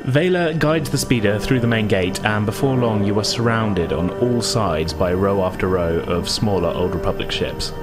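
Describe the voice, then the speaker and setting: A man's voice: fairly low pitch, normal speed, few pauses, over music. A man narrating a tabletop roleplaying game as game master, descriptive and conversational.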